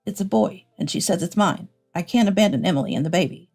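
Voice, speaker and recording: Woman reading an evasive, mellow-voiced husband. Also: lowish voice, fast talker, soft background music. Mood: sheepish, stubborn. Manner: evasive, self-justifying. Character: adult cheating husband, evasive and sheepish.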